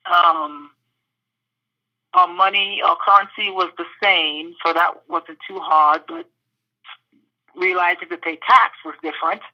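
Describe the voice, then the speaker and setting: A woman from the British Virgin Islands answering interview questions thoughtfully, pausing often with fillers. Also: lowish voice, highs cut off. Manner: thoughtful, with many pauses and fillers.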